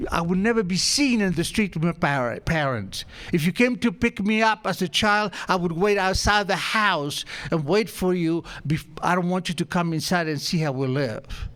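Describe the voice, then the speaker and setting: An older man with a thick Argentine accent and a fairly high voice. He tells his story to a crowd in a loose, conversational way, poking fun at himself. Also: moderate pace, fairly bright tone. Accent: Argentine Spanish. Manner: self-mocking, humorous, conversational. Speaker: recovery meeting speaker. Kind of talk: storytelling talk to an audience.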